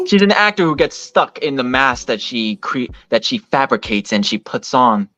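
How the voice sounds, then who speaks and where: Male, articulate and formal in conversation, expressive intonation, muffled close dry audio. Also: medium pitch, no room sound. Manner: measured, articulate, formal wording. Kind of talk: opinion in conversation. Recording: dull, lo-fi clip.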